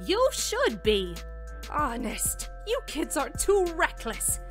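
A woman's voice: high-pitched, wildly swooping pitch, takes it slowly, soft background music, exaggerated voice. A woman voicing a stern, bossy little old school nurse who scolds in an amateur anime comic dub. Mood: scolding.

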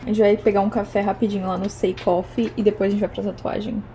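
A woman's voice: medium pitch, level tone, quick pace, warm tone. A young woman with a Brazilian Portuguese accent, chatting casually to camera as a vlogger. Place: outdoors on city streets and in cafés. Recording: street noise behind the voice.

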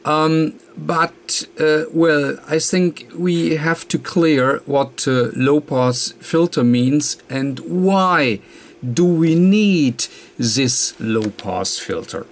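A man presenting an electronics tutorial, explaining off the cuff in a halting, hesitant way with frequent pauses mid-sentence. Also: fairly high pitch. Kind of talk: unscripted technical explanation. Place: indoor workbench, hands and papers filmed.

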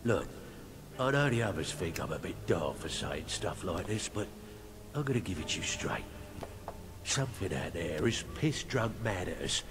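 A man playing a shrewd, gruff middle-aged thief in a fantasy game, talking bluntly and colloquially. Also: sits low, big pitch swings, normal speed. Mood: frank. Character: gruff, shrewd middle-aged male thieves' guild fixer. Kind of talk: fantasy video game dialogue acting. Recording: noisy game capture with ambience.

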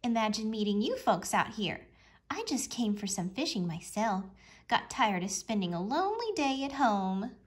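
A woman's voice: mid-range voice, sing-song, normal speed, close to an everyday voice. A woman voicing a breezy, self-pleased male cartoon duck with an exclamatory, innocent-sounding manner for a children's storybook. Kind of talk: children's storybook read-aloud.

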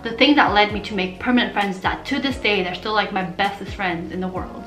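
A young woman from Portugal, talking casually to camera in an animated, chatty vlog style while gesturing with her hands. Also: mid-range voice, fairly quick, reverberant, soft background music. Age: young adult. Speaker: vlogger.

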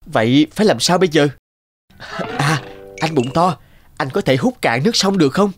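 A man's voice: fairly high pitch, expressive, normal speed, soft background music, close to an everyday voice. A man voicing a brave, kind-hearted young prince in a fairy-tale cartoon, earnest and polite. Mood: urgent. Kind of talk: animated fairy-tale dubbing.

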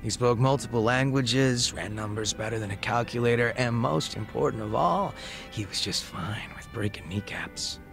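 A man voicing a laid-back, wistful gang leader in his thirties in a video game, reflective, unhurried and casually profane, with a gravelly voice. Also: mid-range voice, over music, lightly acted. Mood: matter-of-fact. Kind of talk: video game cutscene dialogue acting.

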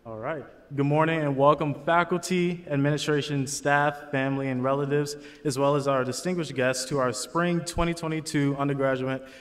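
Young man, student graduation speaker, slightly high voice, earnest and conversational, lectern mic in a reverberant hall. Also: fairly even intonation, moderate pace, hardly any pauses, clear, resonant tone. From Chicago, USA. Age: young adult. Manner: earnest, chatty asides to the crowd. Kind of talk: commencement speech. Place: large auditorium stage. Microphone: lectern microphone.

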